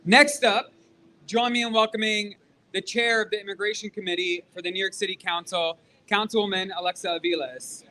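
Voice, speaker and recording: High, bright-voiced man emceeing an event. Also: flat delivery, fairly quick, low-quality recording. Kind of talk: introducing speakers at a press conference. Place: outdoors in a city square. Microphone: event microphone.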